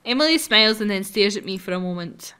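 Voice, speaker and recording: A woman's voice: mid-range voice, lively intonation, fast, pushed and bright, clear, resonant tone. A woman hosting a gaming video, reading a visual novel aloud in a playful, giggly, self-mocking way.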